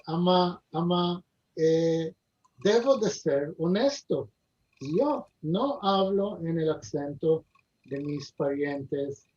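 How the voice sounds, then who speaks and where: A man's voice: a little high, darkish tone. A man speaking with an Israeli-tinged accent as a guest in an online discussion, hesitant and searching for his words, with many fillers. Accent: Israeli-tinged Ladino. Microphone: video call. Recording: dull lo-fi video call audio.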